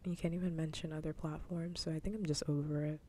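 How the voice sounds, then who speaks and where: A woman livestreamer chatting casually in a soft ASMR style, mostly in a whisper, close to the microphone. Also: little pitch movement, fast talker. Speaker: livestreamer host. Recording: faint background noise, low rumble.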